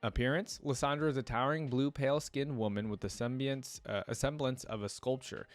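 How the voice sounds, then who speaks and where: A man's voice: mid-range voice, steady tone, a little fast, no echo. A man hosting a reaction video, reading text aloud and commenting on it in an informal, thinking-aloud way. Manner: informal, thinking aloud between read passages.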